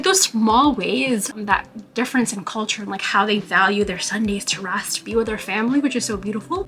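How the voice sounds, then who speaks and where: A woman's voice: a little high, a bit bright. Chatty young New woman. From New York. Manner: chatty, with frequent "like" and "you know" fillers. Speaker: vlogger. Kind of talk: casual talking-to-camera vlog.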